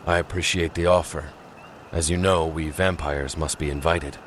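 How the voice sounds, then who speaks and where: A courtly vampire for a video game, with an extremely deep voice. He is reserved and formal, choosing measured words. Noise or music sits behind him. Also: steady tone. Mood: polite, reserved. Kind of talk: scripted game dialogue.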